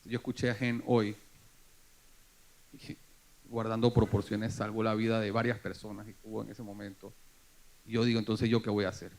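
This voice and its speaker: A man's voice: lowish voice, flat delivery, normal speed, pauses often, a little mellow, poor audio. A man speaking earnestly and emphatically as a forum panelist.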